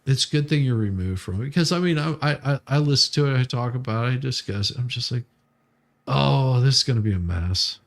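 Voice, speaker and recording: A man with a gravelly, mid-pitched voice talks casually on a livestream. He explains haltingly, circling back and repeating words as he goes. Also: expressive. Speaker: live streamer, co-host.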